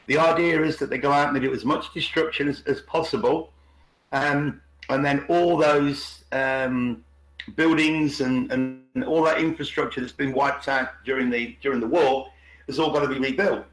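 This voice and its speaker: A man's voice: mid-range voice, fairly even intonation, normal speed, a bit bright. A man in an unscripted, opinionated discussion on an online call, repeating words and restarting often. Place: indoors on an online group call. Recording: roomy, echoing online call.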